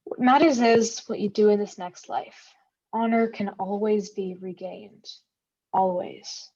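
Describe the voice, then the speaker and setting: Woman playing proud protective heroine, husky, medium pitch, firm, muffled recording, drama reading. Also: a little slow, darkish tone. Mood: solemn, reassuring. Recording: slightly dull, muffled microphone.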